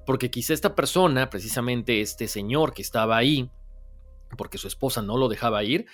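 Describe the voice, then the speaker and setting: A man with a Latin American Spanish accent hosting a mystery show, talking conversationally and reading listener stories in an expressive storytelling way. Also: medium pitch, brisk. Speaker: host of a paranormal stories show.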